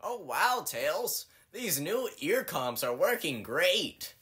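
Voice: medium pitch, very animated, slow pace, bright, forceful tone, full character voice. An actor voicing a self-absorbed, money-hungry cartoon animal streamer in a whiny, goofy comedic fan dub. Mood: smug. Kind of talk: comedic fan dub of video game cutscenes.

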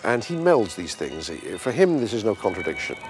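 A man's voice: on the low side, big pitch swings, a little slow, over music. A man giving explanatory, emphatic interview commentary as an art expert.

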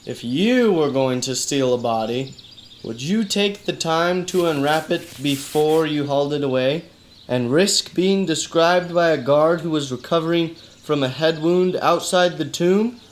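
An actor voicing a skeptical, hard-boiled private eye with dry, deadpan delivery in a noir detective radio play. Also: male voice, fairly high pitch, unhurried, natural voice. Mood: dry, probing. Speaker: detective and narrator.

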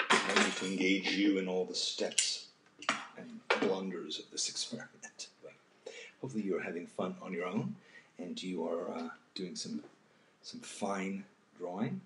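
Deep-voiced older man musing as he works. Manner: halting, thoughtful, often trailing off to a murmur. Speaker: host of a live drawing session. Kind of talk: unscripted casual talk while drawing. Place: home kitchen, indoors. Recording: roomy echo, faint background noise.

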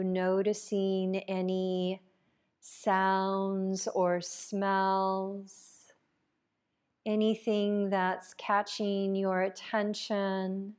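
A woman leading a guided meditation as a yoga nidra teacher, calm and unhurried, leaving long pauses between phrases. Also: medium pitch, smooth.

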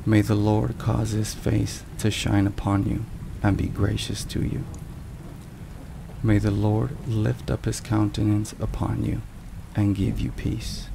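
Slow, low, hushed man over rain. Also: creaky. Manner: hushed, measured, solemn delivery. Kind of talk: soft ASMR devotional scripted narration. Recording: rain and thunderstorm noise behind. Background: steady rain and thunder.

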